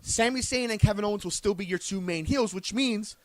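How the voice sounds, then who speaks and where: Brief: male podcast co-host, high voice, dry close mic, argues his point step by step. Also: a little fast, no echo. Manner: insistent, lays out arguments point by point. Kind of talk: casual sports debate.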